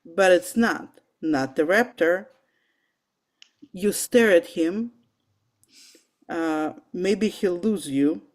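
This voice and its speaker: Casting: woman as male paleontologist, slightly low pitch, dry and guarded, lecturing, informal table read. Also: very slow, long pauses. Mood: menacing lecture. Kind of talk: amateur table read of a film script.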